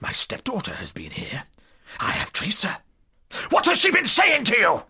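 Raspy, bellowing old villain. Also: male voice, sits high, wildly swooping pitch, moderate pace, bright, forceful tone, whispered, full character voice. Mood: furious, accusing. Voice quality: rasping, snarling. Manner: bellowing, threatening. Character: huge, furious old doctor, a menacing, blustering villain. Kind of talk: audio drama acting.

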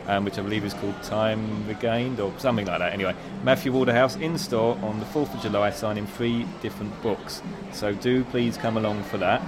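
A man managing a bookshop, talking politely and a little hesitantly in a casual interview. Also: mid-range voice. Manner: polite, slightly hesitant with fillers. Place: busy shopping centre store. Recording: noisy public space.

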